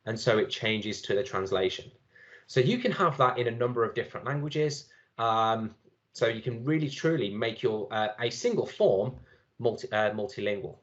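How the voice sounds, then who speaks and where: A man walks through a software demo in a relaxed, chatty way, pausing on ums and restarting sentences. His voice sits in a middle range, and the online session audio sounds a bit muffled. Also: mid-range voice. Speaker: conference speaker giving a software demo. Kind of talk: live screen-share demo talk.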